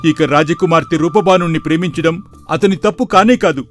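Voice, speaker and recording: Rebellious soldier, played by a man, higher-pitched, earnest protest, cartoon folk tale, faint music bed. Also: brisk, natural voice. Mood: indignant. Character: bold rebellious soldier reproaching and counselling his king. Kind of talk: storybook cartoon character voice.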